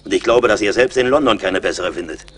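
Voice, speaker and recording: An actor playing a seasoned, blunt and mocking frontier scout in a radio play, with a wry, commanding manner. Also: male voice, lowish voice, quick pace, fairly bright tone, slight background noise, natural voice. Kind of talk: German radio play acting.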